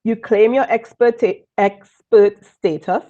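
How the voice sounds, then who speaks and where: A woman from Trinidad with a Trinidadian accent mixed with British tones, giving a lively live online business training and repeating key points for emphasis. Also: on the high side, slow, dull audio. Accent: Trinidadian, mixed with British and Northern Irish. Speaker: business coach.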